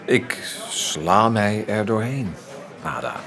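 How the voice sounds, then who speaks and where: A man's voice: on the low side, lively intonation, slow, a crowd in the background. An actor playing a reserved, uneasy man in intimate radio play dialogue. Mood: hesitant, tender.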